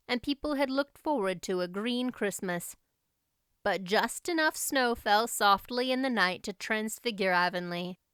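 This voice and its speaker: A woman narrates an audiobook story with a smooth, clear, mid-pitched voice. Her telling is measured and expressive, recorded close with a dry sound. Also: no echo.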